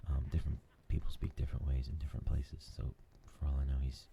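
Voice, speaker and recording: A man hosting a new ASMR gaming channel, talking in a soft whisper and rambling with lots of fillers. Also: a bass voice, little pitch movement, fast talker, creaky, background noise.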